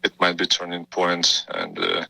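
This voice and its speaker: A man from Ukraine with a Ukrainian accent, speaking haltingly in a news interview. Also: low voice, completely flat delivery, gravelly, bright, forceful tone, dry recording, low-quality recording. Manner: halting, hesitant phrasing.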